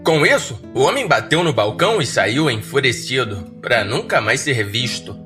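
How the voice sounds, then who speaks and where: Brazilian guy, horror podcast host, medium pitch, informal talk with soft music under it. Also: expressive, moderate pace. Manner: informal, slangy. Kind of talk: scripted story narration and casual listener address.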